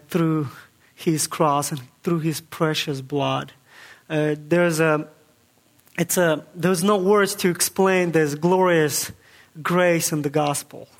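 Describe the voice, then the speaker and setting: A young man from Kazakhstan, giving a halting, earnest church testimony with many fillers and restarts. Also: on the high side, fairly even intonation. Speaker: guest speaker giving testimony.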